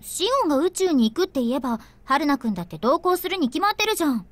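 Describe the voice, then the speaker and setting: A headstrong, inquisitive young woman in a Japanese visual novel. Her voice is high and very quick, recorded close and dry, with a casual way of questioning. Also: lively intonation, no room sound.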